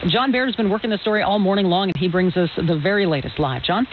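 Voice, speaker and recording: A man's voice: high-pitched, fast, noisy background. A man anchoring live radio news, addressing listeners and handing off to reporters. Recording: tinny narrowband scanner radio relay, music underneath.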